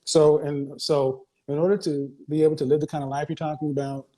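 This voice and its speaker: A man's voice: fairly high pitch, flat delivery, fairly quick, mellow, low-quality recording. A man hosting a call-in advice show, questioning a caller bluntly and cutting in often. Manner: blunt, interrupting, interrogating. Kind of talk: call-in interview.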